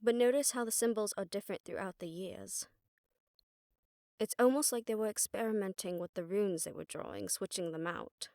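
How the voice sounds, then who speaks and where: A woman playing an exasperated, sharp-tongued witch detective in an audio roleplay, talking casually and wryly to a partner. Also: medium pitch, moderate pace, creaky, no room sound, clean studio sound, natural voice. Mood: pondering. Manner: casual, wry, mildly swearing, explanatory. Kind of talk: conversational audio roleplay acting.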